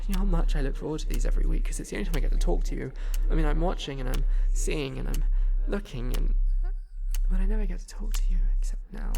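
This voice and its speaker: An actor voicing an obsessive, lovesick stalker in an intimate audio roleplay, breathy, stammering and pleading, repeating themselves. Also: medium pitch. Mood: yearning, nervous.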